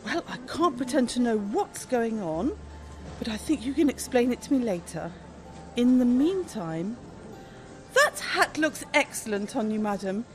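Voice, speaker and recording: An actress playing a brisk, self-important female shop owner in a radio comedy, haughty and expressive. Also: fairly high pitch, soft background music, poor audio. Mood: pleased. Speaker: actor in amateur radio play.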